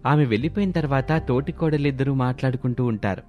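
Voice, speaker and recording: Guy narrating a scripted family story, mid pitched voice, music playing behind him. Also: fast talker, darkish tone.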